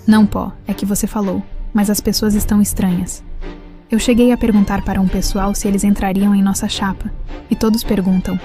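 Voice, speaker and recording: A woman's voice: mid-range voice, flat delivery, fairly quick, dark tone, faint music behind, natural voice. A woman with a Brazilian accent playing a loyal, conspiratorial teenage campaign ally in audio drama, explaining and suggesting. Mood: suspicious.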